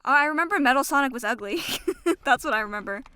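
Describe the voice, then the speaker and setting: A woman's voice: sits high, expressive, quick pace, pushed and bright, slight background noise. A woman co-hosting a podcast, giving blunt, opinionated remarks in casual conversation. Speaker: podcast host. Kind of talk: casual chat.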